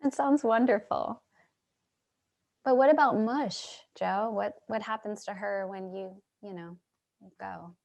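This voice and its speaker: Stage reading role: wistful homeless dreamer played by a woman, earnest, unhurried, mid-range voice. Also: medium pitch, creaky, a little mellow. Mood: tender concern. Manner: earnest, lingering over phrases, dreamily effusive. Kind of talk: staged play reading, monologue and dialogue. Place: remote reading over video call.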